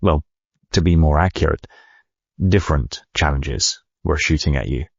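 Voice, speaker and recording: A driven ceo dictating his memoir, super low husky voice, measured and thoughtful, bit muffled. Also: dry recording, heavily acted. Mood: earnest, resolute. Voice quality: gravelly, husky. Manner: reflective, measured, articulate. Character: driven male medical company CEO recounting his life. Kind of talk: first-person memoir narration.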